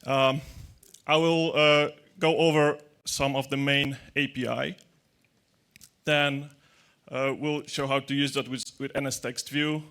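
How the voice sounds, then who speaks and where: A man's voice: mid-range voice, little pitch movement, unhurried, a bit bright, clear, resonant tone. Chatty man giving a tech conference talk. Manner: joking, chatty, with hesitant restarts and non-native grammar. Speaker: conference speaker. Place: large dark conference hall with audience. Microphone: handheld mic.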